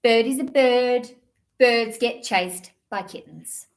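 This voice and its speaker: A woman presenting children's storytime, reading picture books aloud with expressive, deliberate delivery aimed at young children. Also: on the high side, a little hiss or hum.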